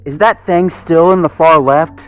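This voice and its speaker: A man voicing an impatient, sharp-tongued female husky in a storybook reading. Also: a little high, measured pace, soft background music, voice pushed up, lightly acted, poor audio. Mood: dry, sarcastic. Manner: curt, impatient, snapping. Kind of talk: storybook character voice.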